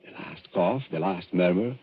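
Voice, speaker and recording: An actor playing a suave stage magician who speaks in an urbane, theatrical, mocking manner in an old radio drama. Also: male voice, sits low, moderate pace, slightly rough. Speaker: magician and escape artist. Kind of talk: 1940s radio drama acting. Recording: tinny, lo-fi old radio broadcast.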